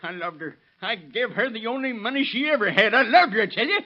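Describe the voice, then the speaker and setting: Giggly high-pitched rural simpleton killer. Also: male voice, animated, brisk. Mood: bitter confession. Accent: rural American. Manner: folksy, whining, giggly. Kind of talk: 1930s radio acting. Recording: dull vintage 1930s radio recording.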